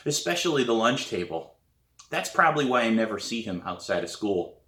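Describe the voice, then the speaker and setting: A man reading a children's novel aloud in an expressive storybook narration style. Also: lowish voice. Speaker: author reading own novel. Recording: roomy echo.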